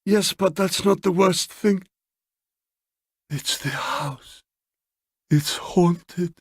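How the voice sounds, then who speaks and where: A man's voice: high-pitched, drawn-out words, slightly rough, no echo, clean studio sound, pitched above the performer's own voice, heavily acted. A man voicing an anxious, desperate woman visitor with formal, insistent phrasing in an audiobook story. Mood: pleading, troubled.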